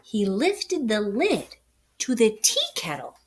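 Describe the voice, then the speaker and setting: A woman reading a children's story aloud in an expressive, deliberate, dramatic way with pauses. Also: mid-range voice. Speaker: storybook reader.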